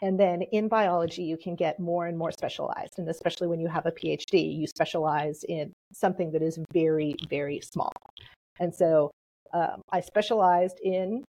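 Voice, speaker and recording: Measured woman, Polish first language. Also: lowish voice, warm tone, rough recording. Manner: measured, explanatory. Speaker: podcast guest. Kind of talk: relaxed interview answers.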